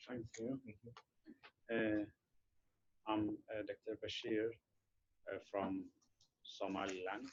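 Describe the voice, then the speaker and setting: A man from Ethiopia introducing himself on a conference panel, speaking haltingly with many fillers and restarts. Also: lowish voice, level tone, dull audio. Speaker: hospital director, panelist.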